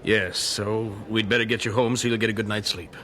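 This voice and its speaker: An actor playing a brisk, jovial, persuasive evangelist in an audio drama, direct and commanding. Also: male voice, lowish voice, little pitch movement, heavy background noise, lightly acted. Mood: good-humoured, persuasive.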